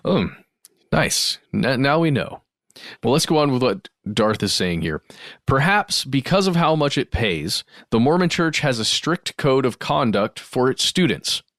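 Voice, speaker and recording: A man's voice: medium pitch, very animated, measured pace, fairly bright tone, no echo, clean studio sound. A man co-hosting a podcast, reading listener emails aloud in a conversational, explanatory way with asides and recaps.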